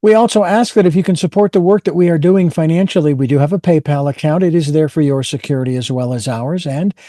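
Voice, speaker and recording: A man's voice: fairly high pitch, fast, dark tone, dry recording, clean studio sound. A man hosting an interview show, speaking in a rambling way with many fillers and restarts. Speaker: podcast host.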